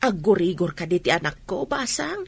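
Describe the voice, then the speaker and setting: Woman playing a husky, fretful village mother. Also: lowish voice, big pitch swings, normal speed, no room sound, exaggerated voice. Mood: worried. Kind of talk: radio comedy drama dialogue.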